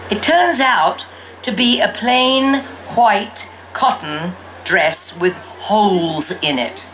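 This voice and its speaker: A woman reading a storybook in the first person as an exuberant young girl who fancies herself a fairy princess, with theatrical, drawn-out emphasis. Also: medium pitch, fairly bright tone, slight background noise, poor audio. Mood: bright, self-assured.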